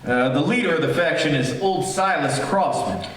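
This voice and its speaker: An actor playing a baffled, earnest small-town sheriff, explaining hesitantly in old-time radio mystery style. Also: male voice, on the high side, moderate pace, fairly bright tone, close to an everyday voice. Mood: earnest, uneasy. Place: theater stage, live performance. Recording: very echoey theater, distant mic, faint noise.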